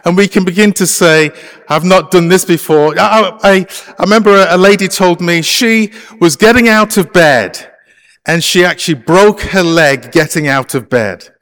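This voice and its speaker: A man's voice: high voice, moderate pace, fairly bright tone, in a large hall. A middle-aged man from England with an English accent, preaching a conversational sermon with many fillers. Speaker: pastor. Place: church sanctuary.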